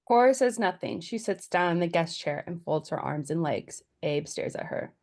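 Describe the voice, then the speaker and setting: A woman's voice: lowish voice, lively intonation, moderate pace, darkish tone. A woman narrating stage directions from a script at a table read.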